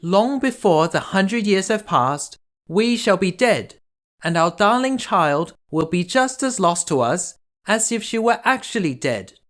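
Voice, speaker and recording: Man putting on a high, pleading queen. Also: lively intonation, measured pace, fairly bright tone. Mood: distraught, pleading. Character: distraught storybook queen, anxious mother.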